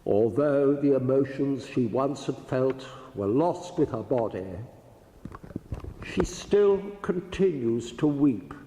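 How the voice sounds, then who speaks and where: A man giving a solemn literary reading of verse, deliberate and broken into short phrases. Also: medium pitch, expressive, dark tone. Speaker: translator reading their own translation. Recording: echoey hall, faint background noise.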